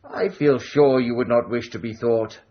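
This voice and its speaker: An actor playing a high-handed Edwardian gentleman adventurer in a radio period drama, grandiloquent and teasing. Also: steady tone, moderate pace, muffled recording, close to an everyday voice. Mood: grand, flattering.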